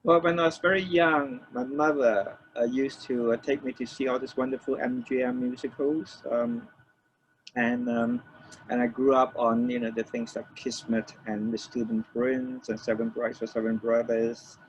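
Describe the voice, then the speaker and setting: An older man from Malaysia, reminiscing as an interview guest in a halting way, repeating phrases as he explains. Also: medium pitch, steady tone. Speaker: interview guest, record producer. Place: room with shelves of DVDs, on a remote call. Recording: dull lo-fi call, faint noise.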